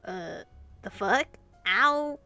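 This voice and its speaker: A woman's voice: fairly high pitch, lively intonation, extremely slow, sharp tone, dry recording, soft background music. A woman gamer reading a visual novel aloud expressively, in the style of a casual playthrough.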